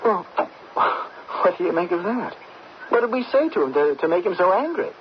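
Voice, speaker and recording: An anxious young student in a vintage radio play. His delivery is halting and puzzled, with lively swings in pitch. The sound is dull and band-limited, with faint noise. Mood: flustered. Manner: hesitant, halting. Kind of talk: old-time radio drama acting. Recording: muffled old radio broadcast, faint noise.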